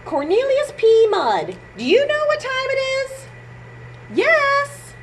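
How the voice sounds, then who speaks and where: High, animated woman leading children's storytime. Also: slow pace, slight background noise. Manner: animated, playful, talks directly to young children. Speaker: children's storytime presenter at a library. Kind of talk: children's storytime with read-aloud and sing-along.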